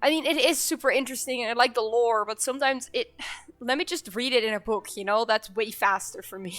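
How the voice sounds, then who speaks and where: Bright-voiced, chatty woman streamer. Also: on the high side, brisk, faint music behind. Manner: chatty, laughing, talks to chat. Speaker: game streamer. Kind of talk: casual live-stream chat.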